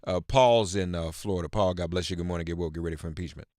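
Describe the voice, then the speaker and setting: A man hosting a live political call-in radio show, halting and emphatic, repeating words for stress as he reads news and talks with callers. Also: deep voice, steady tone, a little mellow, dry recording, studio quality.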